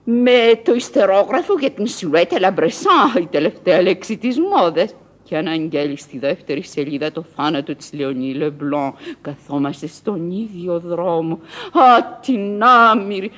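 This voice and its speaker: Eccentric old Parisian madwoman, grand and whimsical, raspy voice, swooping delivery, radio theatre. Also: fairly low pitch, expressive. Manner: theatrical, declamatory, musing. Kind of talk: Greek radio theatre acting.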